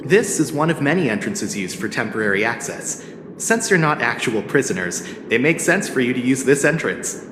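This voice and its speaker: An actor playing a polite, formal official who receives guests in a video game. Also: male voice, mid-range voice, sing-song, normal speed, background noise, lightly acted. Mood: polite, welcoming. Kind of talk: English game voice acting. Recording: echoey hall with background ambience.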